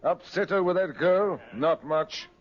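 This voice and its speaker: An actor playing a terse, disapproving village pub landlord in a 1950s radio drama, with folksy clipped phrasing. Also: male voice, a little high, a little slow, close to an everyday voice. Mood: gossipy, disapproving. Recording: muffled old radio recording.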